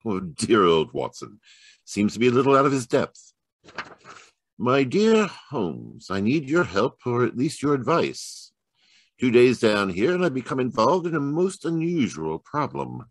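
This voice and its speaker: A man's voice: mid-range voice, wildly swooping pitch, unhurried, slightly rough, no room sound, exaggerated voice. A man playing a wry, fond detective reading aloud in an old-time radio drama. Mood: amused, measured. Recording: live video-call performance.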